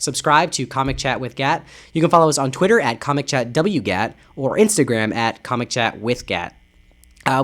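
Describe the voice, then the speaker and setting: A man's voice: medium pitch, a bit bright. A man hosting a podcast, closing the show in a rambling, casual way full of ums and uhs. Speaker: podcast host.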